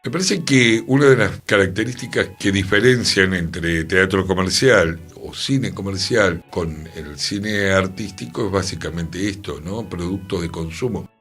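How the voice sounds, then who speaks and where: A man with a Rioplatense Argentine accent in a reflective radio discussion, speaking in measured, emphatic phrases that trail off at the ends. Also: low voice, a bit bright, breathy edge. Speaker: radio talk participant. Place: radio studio.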